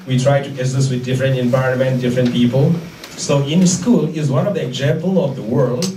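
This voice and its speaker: A man with an Indian accent and Manipuri as his first language gives an informal talk, haltingly searching for words and repeating phrases. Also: medium pitch, a little mellow. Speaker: guest lecturer. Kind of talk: informal public talk with audience questions. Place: indoor lecture room. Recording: echoey room, distant mic, faint noise.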